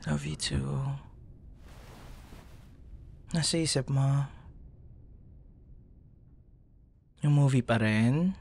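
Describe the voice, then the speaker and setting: A man's voice: medium pitch, creaky. A man with a Filipino accent playing an affectionate, teasing, protective young boyfriend in an intimate ASMR roleplay, speaking in a tender, soothing way. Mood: gentle concern. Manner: tender, playful, soothing, softly murmured.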